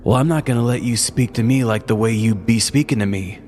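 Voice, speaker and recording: A man's voice: mid-range voice, fairly quick, a little mellow, big-room sound, faint music behind, natural voice. A man playing a belligerent, self-important single father who rants in grandiose, profane style as a crank caller in a comedy story. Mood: defiant.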